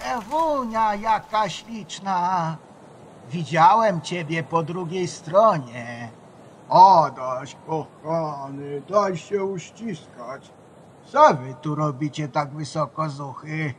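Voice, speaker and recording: A man's voice: fairly high pitch, slow pace, slight background noise. An actor playing an affectionate old grandfather spirit in a children's radio play, speaking tenderly and patiently. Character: affectionate old grandfather, a guardian spirit who has died.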